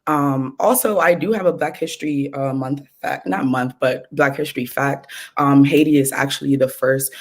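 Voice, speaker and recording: A woman's voice: a bass voice, moderate pace, hardly any pauses. A woman from Trinidad and Tobago, a guest activist, speaking earnestly and with passion over a remote call. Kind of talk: casual podcast interview. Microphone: remote call mic.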